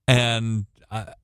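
A middle-aged American man with a booming voice, teaching from the Bible as a preacher in a halting, deliberate way with long pauses. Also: smooth, no room sound, studio quality. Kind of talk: Bible reading and informal teaching with personal anecdotes. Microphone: close studio-style microphone at a computer.

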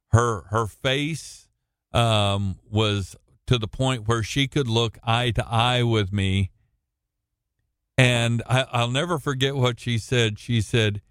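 American guy preaching, kinda booming low voice, halting with long pauses, really dry close recording. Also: fairly bright tone, clean tone, no echo. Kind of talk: Bible reading and informal teaching with personal anecdotes. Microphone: close studio-style microphone at a computer.

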